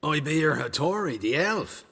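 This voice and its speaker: An actor voicing a rough, chummy gang enforcer in a video game, husky and blunt. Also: male voice, a little high, very animated, moderate pace, sharp tone, exaggerated voice. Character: rough, matey male hired muscle with a gang. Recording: long hall-like reverb.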